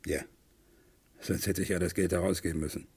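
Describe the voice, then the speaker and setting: A man's voice: low-pitched, little pitch movement, a little fast, creaky, darkish tone, slightly rough, exaggerated voice. An actor in a 1970s radio crime play voicing a weary, broken man who confesses with bitter, resigned repetition. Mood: resigned confession. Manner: resigned, repeats himself bitterly.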